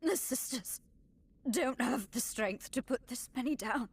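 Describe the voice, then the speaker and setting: A woman playing an exhausted, despairing defender in a video game, with a husky, breathy voice. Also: fairly high pitch, a little slow. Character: despairing, exhausted female defender under siege.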